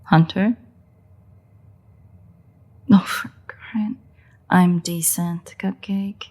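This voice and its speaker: A woman's voice: lowish voice, fairly even intonation, extremely slow, dark tone. A woman playing a sardonic, free-spirited, flirtatious female vampire in an intimate audio roleplay, teasing and swearing as she goes. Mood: dry, mildly annoyed.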